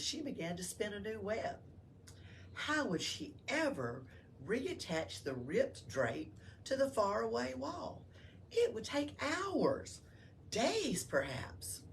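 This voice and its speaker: A woman's voice: medium pitch, recorded from a distance. A woman reading a story aloud to children as a library storyteller, explaining it in simple words. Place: library room. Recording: faint background noise.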